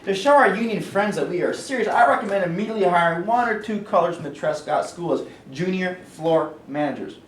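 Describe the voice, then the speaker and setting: A man's voice: sits high, animated, fairly quick, hardly any pauses, far from the mic, slight background noise. A man playing a bigoted, condescending steel mill executive in a staged reading, recorded in an echoey room with the mic some way off. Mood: menacing. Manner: blustering and condescending, turning oily.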